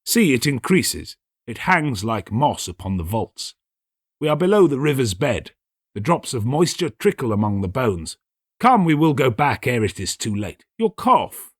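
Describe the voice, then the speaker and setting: A scheming aristocrat played by a male narrator from a gothic storybook, all formal courtesy and insistent false concern. The pitch is lifted above the reader's own and moves expressively. The recording is dry. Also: big pitch swings, a little slow, husky, no echo. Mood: urging. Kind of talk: dramatic audiobook character reading.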